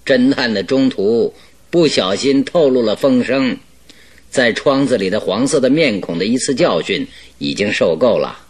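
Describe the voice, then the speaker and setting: A man's voice: mid-range voice, expressive, husky. A man playing a self-assured, secretive detective in a radio drama, teasing and evasive. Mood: amused, confident. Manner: chuckling, teasing, unhurried and evasive.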